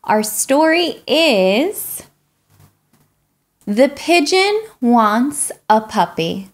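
A woman's voice: on the high side, very animated, very slow. A young adult woman presenting a children's read-aloud as storyteller. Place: small furnished room indoors.

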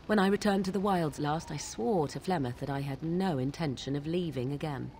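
A woman's voice: deep voice, brisk, warm tone, slight background noise. A woman playing a proud, sardonic young witch in a video game, speaking in formal, archaic, disdainful phrases. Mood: disdainful, musing. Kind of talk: video game dialogue acting.

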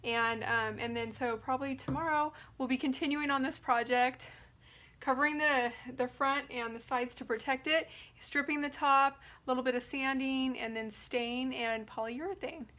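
A woman's voice: high-pitched, steady tone, normal speed, sharp tone. A woman in her thirties giving a casual hands-on DIY tutorial indoors, thinking aloud informally as she works. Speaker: DIY furniture painting vlogger. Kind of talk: casual tutorial chat while working.

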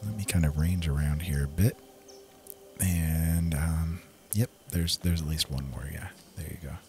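An easygoing man with a low voice commentates casually on a game. He muses to himself as he plays, with prominent game music running behind him. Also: steady tone, a little slow, a little mellow. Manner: easygoing, musing, talks to himself. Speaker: gameplay host. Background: game music and sounds.

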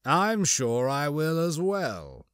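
A man playing a cold, scheming crime lord in an audiobook, mocking and disdainful, with menacingly measured delivery. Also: on the high side, sing-song, fairly bright tone, smooth, no echo, clean studio sound, full character voice. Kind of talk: audiobook character acting.

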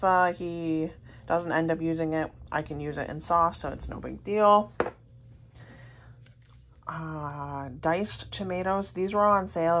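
A woman's voice: low-pitched, a bit bright. A young American woman narrating a casual vlog in a chatty, rambling way. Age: young adult. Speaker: vlogger. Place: indoors at home, kitchen table. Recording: clear background noise, handheld home recording.

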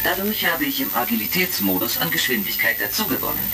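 Pedantic robot with creaky voice. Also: male voice, medium pitch, big pitch swings, fast talker. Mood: earnest. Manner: announces its emotions aloud, pedantically precise. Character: huge combat robot, polite, literal-minded, slightly put-upon. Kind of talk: retro science-fiction radio drama acting. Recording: crackly vintage record, distant, music behind.

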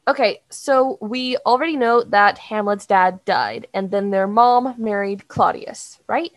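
A woman's voice: mid-range voice, expressive, unhurried, no echo. A woman asking casual clarifying questions as a note taker.

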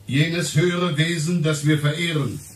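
A man's voice: fairly high pitch, fairly even intonation, measured pace, breathy edge. A man playing a large, pompous, self-important professor and essayist in a radio play, speaking in an imperious manner. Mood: solemn, declaiming.

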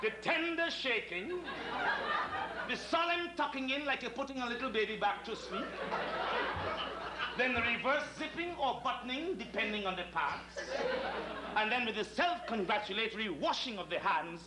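High-voiced, theatrical Trinidadian hotel servant. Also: male voice, expressive, normal speed. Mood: mock-solemn, indignant. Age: middle-aged. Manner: theatrical, mock-solemn, elaborately detailed. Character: deferential yet sly, verbose retired calypsonian hotel servant. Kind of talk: live stage comedy acting. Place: theatre stage before an audience. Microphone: distant camera microphone. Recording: roomy, noisy old camcorder tape of stage play.

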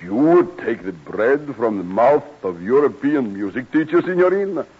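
An actor playing an old, courtly European singing maestro in a radio drama, speaking in formal, measured phrases. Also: male voice, medium pitch, expressive, husky. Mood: courtly, teasing. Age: older. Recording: muffled vintage radio broadcast.

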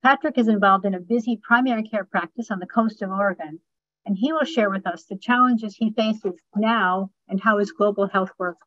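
A woman's voice: mid-range voice, no echo. Older woman moderator, dull call audio. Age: 60s or older. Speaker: panel moderator, professor. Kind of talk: introducing panelists on a video call. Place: office with bookshelves, indoors. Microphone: computer mic on video call. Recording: dull, band-limited video call audio.

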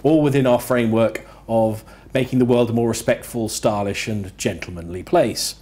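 A man's voice: medium pitch, moderate pace, mellow. Polite, eager male interview host. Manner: polite, eager, a little hesitant with fillers. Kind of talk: hosted interview. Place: office room with city view window.

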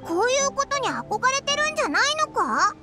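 Tiny cheeky floating girl, squeaky and childlike, blunt and excitable, soaring high voice. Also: fairly quick, exaggerated voice. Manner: blunt, excitable, talks in boyish slang. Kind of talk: game voice acting. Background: game soundtrack music.

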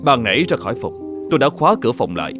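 Male actor as older wayfarer, cartoon folk tale, well-meaning, frank, brisk pace, faint music. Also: mid-range voice, sing-song, natural voice. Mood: disgruntled, polite.

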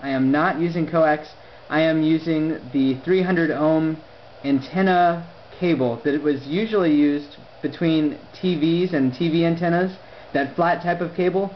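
A man with a slightly high voice chats as an amateur radio operator. His phrasing is deliberate and articulate. The recording is a bit dull, with faint noise behind him. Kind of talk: conversational ham radio exchange.

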